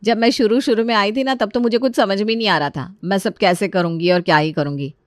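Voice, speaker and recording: A patient, dutiful elder sister-in-law, played by a woman in a domestic audio story. Her voice is mid-pitched and closely recorded, gently explaining and reassuring like a traditional homemaker. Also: brisk, no echo, close to an everyday voice. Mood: resigned. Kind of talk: acted Hindi family story dialogue.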